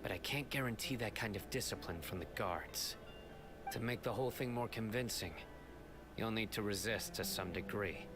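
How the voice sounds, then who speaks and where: An actor playing a stern, principled law enforcer in a video game, measured, formal and serious, with background noise. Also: male voice, lowish voice, music in the background, lightly acted. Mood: grave. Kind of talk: video game character acting.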